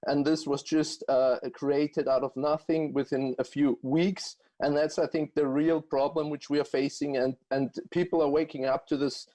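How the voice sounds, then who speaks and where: A man from Austria with an Austrian accent, answering interview questions as a guest economist in a halting way, full of fillers and restarts. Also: a little high, flat delivery, mellow. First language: German.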